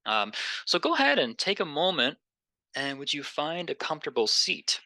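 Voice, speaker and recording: A man leading a guided meditation in a gentle, unhurried, conversational way, pausing between phrases. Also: fairly high pitch, huge pitch swings, no room sound.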